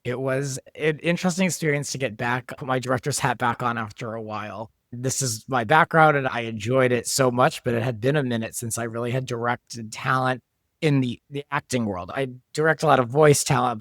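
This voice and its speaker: A man's voice: medium pitch, a little fast, fairly bright tone, no room sound, studio quality. A man being interviewed as a producer and director, explaining things in a conversational way. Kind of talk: interview talk.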